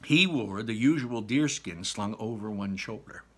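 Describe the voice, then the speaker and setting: A man's voice: fairly low pitch, animated, measured pace. A man reading a children's story aloud with dramatic emphasis and a teacherly manner. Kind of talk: expressive children's story narration.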